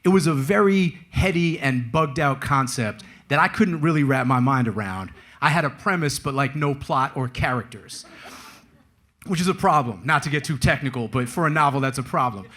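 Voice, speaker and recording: A man's voice: mid-range voice, expressive, moderate pace, fairly bright tone. Wry man telling stories at a book talk. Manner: wry, casual and slangy. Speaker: author, guest speaker. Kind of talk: conversational storytelling at a live book talk.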